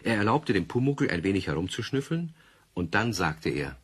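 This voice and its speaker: Deep, husky male story narrator. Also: big pitch swings, moderate pace. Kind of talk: scripted children's story narration.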